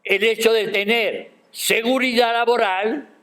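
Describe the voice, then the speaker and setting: An older man giving a formal academic speech at a university ceremony, measured and emphatic, posing rhetorical questions. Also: very high-pitched, fairly even intonation, pushed and bright. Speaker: guest speaker at a university ceremony. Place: university auditorium.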